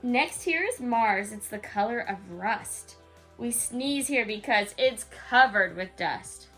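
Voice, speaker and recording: Higher-voiced woman reading a bedtime story. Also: slow, soft background music. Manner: sing-song rhyming delivery with expressive, child-directed asides. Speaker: parent reading a picture book aloud to a young child. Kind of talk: bedtime story read-aloud with casual asides.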